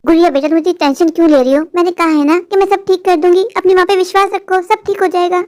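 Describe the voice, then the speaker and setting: A woman's voice: very high voice, level tone, fast, darkish tone. A voice actor playing a scheming middle-aged mother who talks sweetly to others and makes sly, threatening asides in a cartoon. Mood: soothing. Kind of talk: cartoon voice acting.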